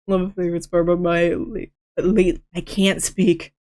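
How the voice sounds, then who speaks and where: A woman's voice: on the low side, little pitch movement, measured pace, a little mellow. A woman with a lisp chatting casually on a live stream, rambling from story to story, stuttering and restarting words mid-sentence. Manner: chatty, rambling, laughs often.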